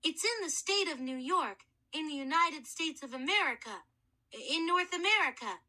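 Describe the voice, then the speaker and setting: A woman's voice: sits high, animated, moderate pace, bright, forceful tone. A woman voicing a nervous young boy with a jumpy, breathless manner.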